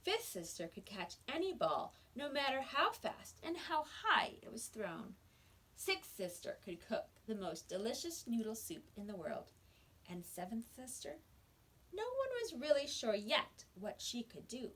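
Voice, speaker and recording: Expressive, husky woman reading a storybook. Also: fairly high pitch, low-quality recording. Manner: expressive storytelling, dramatic pauses and loud exclamations. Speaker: kindergarten teacher. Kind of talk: reading a picture book aloud to children.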